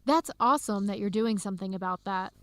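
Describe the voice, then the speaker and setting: A woman's voice: medium pitch, a little fast, dry recording, lightly acted. A woman playing a friendly young Ethiopian American grad student with an American accent in a radio drama, speaking in a conversational way. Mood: interested. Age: young adult. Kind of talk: educational radio drama acting.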